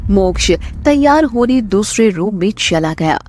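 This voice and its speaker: A woman's voice: mid-range voice, animated, measured pace, darkish tone, slight background noise. A woman narrating a scripted romantic drama story as the storyteller.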